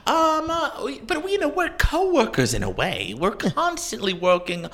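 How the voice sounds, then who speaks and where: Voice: medium pitch, sing-song, normal speed, a bit bright. A performer playing a chatty male wizard Koopa in an improvised comedy interview, rambling and trailing off with "you know". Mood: deadpan rambling. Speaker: guest in character.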